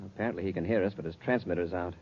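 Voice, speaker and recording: Casting: authoritative American space commander, quick orders, lower register, vintage radio drama on a dull recording. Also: male voice. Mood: commanding. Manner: crisp, authoritative, giving orders. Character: commanding, decisive space patrol commander, adult man. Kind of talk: 1950s radio serial acting.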